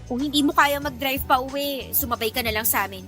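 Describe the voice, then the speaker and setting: Scornful young Filipino woman, high bright voice, confrontational, sarcastic, radio drama. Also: brisk, faint music behind. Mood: curt. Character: angry, scornful young woman scolding her suitor.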